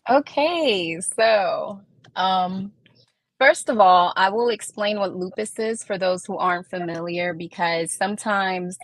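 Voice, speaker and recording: A woman from Puerto Rico, a guest on a podcast, answering questions in a measured, explanatory way. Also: medium pitch, no room sound. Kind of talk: conversational interview answers.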